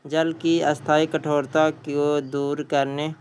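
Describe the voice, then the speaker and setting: A man's voice: fairly high pitch, level tone, slow, a little mellow, slight background noise. A man teaching an exam practice lesson, reading out questions and answers and often repeating a question before answering.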